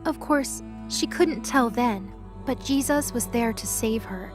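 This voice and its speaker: A woman's voice: a little high, unhurried. A woman narrating a scripted audio drama as a storyteller. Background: music.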